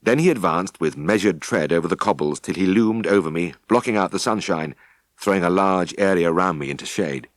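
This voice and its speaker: A British man narrating a first-person story for an audiobook with expressive, scripted delivery. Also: deep voice, fairly quick, no room sound.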